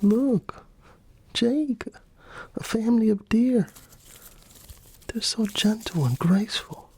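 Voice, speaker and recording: A man voicing a gentle, awed young woman hiker in a bedtime story, with a soft, breathy falsetto. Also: slow pace, exaggerated voice. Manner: soft, wondering. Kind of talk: ASMR bedtime story character dialogue.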